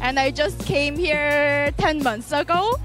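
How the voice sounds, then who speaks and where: A woman from South Korea, speaking to a reporter at a pride parade, slow, joyful and sincere. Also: sits high. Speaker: pride attendee. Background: loud parade music.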